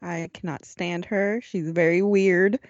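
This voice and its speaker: A woman's voice: on the low side, steady tone, a little slow, no room sound, highs cut off. Blunt woman, dry close sound. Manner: short, blunt reactions. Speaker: podcast co-host. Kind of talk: casual film chat.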